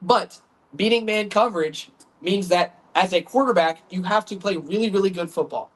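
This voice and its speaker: A man's voice: high-pitched, normal speed, poor audio. A man hosting a sports podcast, congested from the flu, talking through football film in an earnest, chatty way that stumbles and repeats words. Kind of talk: casual football film breakdown.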